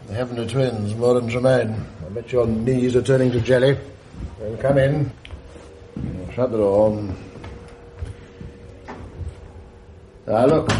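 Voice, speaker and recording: An actor playing a middle-aged English schoolmaster, blustering, clipped and teasing, in a radio drama. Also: male voice, fairly low pitch, dark tone, a little hiss or hum, lightly acted, low-quality recording. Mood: wry, firm. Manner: clipped, bantering, mock-stern, old-fashioned.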